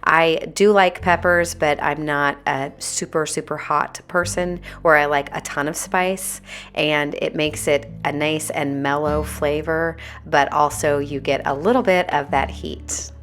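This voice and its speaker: A woman with a raspy, hoarse voice, chatting candidly as a homemaking vlogger. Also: low-pitched, fairly even intonation, unhurried, fairly bright tone, faint music behind. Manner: chatty, candid, a little exasperated. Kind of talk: casual vlog chat.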